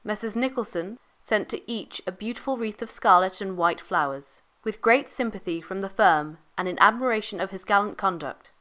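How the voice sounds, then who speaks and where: A woman narrates from a script in a medium-pitched voice. She reads formally, in the manner of an old newspaper report. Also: moderate pace, few pauses, fairly bright tone.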